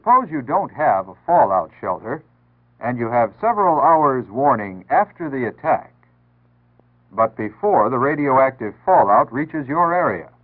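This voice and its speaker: An American man reading scripted 1960s public service narration in a measured, earnest, instructive manner. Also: medium pitch, sing-song. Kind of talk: 1960s civil defense PSA scripted narration. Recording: tinny band-limited 1960s broadcast audio.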